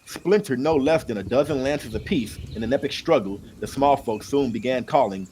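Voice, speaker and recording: A man narrating an audiobook in an expressive, amateur storytelling style. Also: medium pitch, normal speed, slight background noise, low-quality recording.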